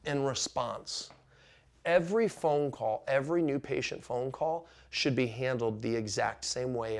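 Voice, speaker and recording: A man's voice: medium pitch, vocal fry. A man presenting an instructional business video in an emphatic way, punctuating points with frequent check-in questions. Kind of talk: instructional explainer to camera.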